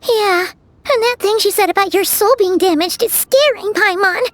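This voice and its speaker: A woman voicing a small, excitable childlike fairy companion in an anime game, chatty and exclamatory with hesitant asides. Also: very high-pitched, moderate pace, a little hiss or hum. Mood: worried, pondering. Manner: speaks of self in third person, exclamatory, hesitant asides.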